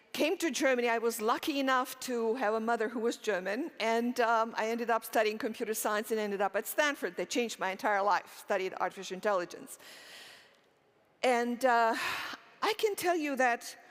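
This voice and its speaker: A woman's voice: fairly high pitch, fairly bright tone. Woman giving a keynote in a reverberant hall. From Romania. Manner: asks the audience rhetorical questions and answers them; earnest, with frequent fillers and restarts. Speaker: keynote speaker. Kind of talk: conference keynote talk. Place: conference stage in a large hall. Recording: long hall reverb on stage.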